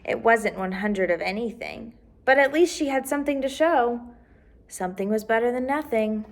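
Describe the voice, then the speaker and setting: A woman with a fairly high voice reads a children's storybook aloud. She narrates expressively, as a storyteller who draws young listeners in with questions. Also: animated, normal speed. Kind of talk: children's picture book read-aloud.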